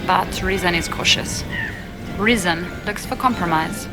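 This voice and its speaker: An actress playing a guarded, proud elven ambassador and spymaster in a video game, composed and pointed. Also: female voice, lowish voice, unhurried, over music. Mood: cool, dismissive. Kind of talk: video game character dialogue.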